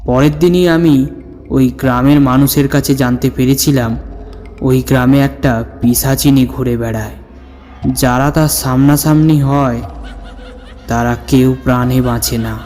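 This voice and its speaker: A man playing an older storyteller who recounts his own ghostly encounter in a suspenseful, measured narration. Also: medium pitch, fairly even intonation, dark tone, natural voice. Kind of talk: dramatic scripted horror story narration. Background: background music.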